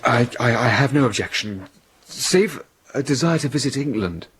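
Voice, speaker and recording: A man playing a troubled young gentleman scientist in a radio drama, speaking formally, earnest and hesitant. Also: medium pitch, expressive, normal speed, husky. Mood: uneasy, earnest. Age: young adult.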